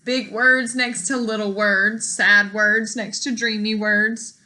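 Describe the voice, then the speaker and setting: A woman reading a picture book aloud to children in an expressive, teacherly way, pausing to explain words and put questions to young listeners. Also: mid-range voice, low-quality recording.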